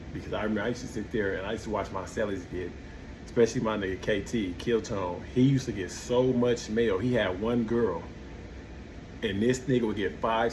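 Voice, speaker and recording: A man's voice: lowish voice, background noise. An American man speaking in a casual, candid monologue, slangy, repeating himself as he searches for words. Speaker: vlogger sharing personal experience of prison.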